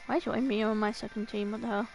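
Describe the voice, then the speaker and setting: High-voiced woman muttering through gameplay, music behind. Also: fairly even intonation, quick pace, creaky, darkish tone. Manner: muttering to self in short phrases. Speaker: livestreamer playing a video game. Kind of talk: casual gameplay chat, thinking aloud.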